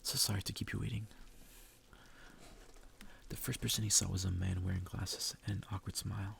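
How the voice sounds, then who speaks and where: A man softly reading a story aloud as ASMR, often breathy and half-whispered, with a gravelly fry. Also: low voice, little pitch movement, moderate pace, slight background noise. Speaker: ASMR reader. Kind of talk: soft ASMR reading of a visual novel. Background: music.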